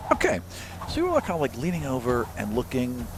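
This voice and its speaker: A man runs a tabletop game as its game master, in a mid-pitched voice. He narrates off the cuff in a conversational style, with clear noise behind him. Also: huge pitch swings, fairly quick.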